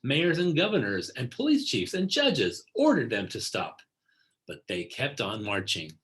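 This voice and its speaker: A man reads a picture book aloud for children in a medium-pitched voice. His delivery is deliberate and scripted, with key words given clear emphasis. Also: wildly swooping pitch, fairly bright tone. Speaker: read-aloud narrator.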